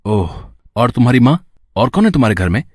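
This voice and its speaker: A man's voice: mid-range voice, very animated, brisk, dry recording, clean studio sound. A man playing a kind young man in an audio story, caring and reassuring like a big brother. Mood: friendly, curious. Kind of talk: acted audio story dialogue.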